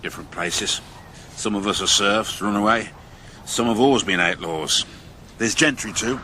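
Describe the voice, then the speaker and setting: Medieval outlaw guard for radio drama: low, forceful-edged, weary, guarded, decent. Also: male voice, moderate pace, slight background noise. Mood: weary, reflective. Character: weary male outlaw guard, former farmer, decent at heart.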